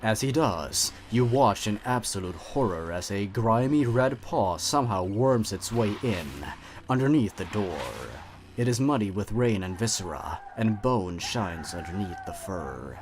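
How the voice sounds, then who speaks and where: A man giving scripted horror narration, telling the story in a hushed, low voice that addresses the listener as "you". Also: lively intonation, a little slow, slight background noise.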